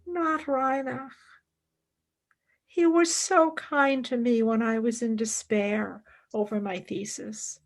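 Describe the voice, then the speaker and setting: A woman playing an earnest, principled young philosopher and wartime nurse in a radio play, with measured, emphatic delivery. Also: on the high side, steady tone. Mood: anguished, reflective. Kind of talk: dramatic radio play acting.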